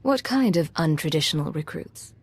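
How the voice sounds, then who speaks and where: A steely secret agent in a cinematic game. Her voice is husky and on the low side, with composed, direct delivery. She stays serious and controlled. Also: expressive, moderate pace. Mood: firm. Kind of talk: cinematic video game dialogue.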